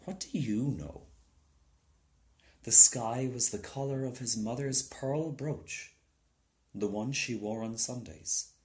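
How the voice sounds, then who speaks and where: A man's voice: medium pitch, slow, a little mellow. A man narrating a children's storybook as a scripted reading. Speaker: storybook reader.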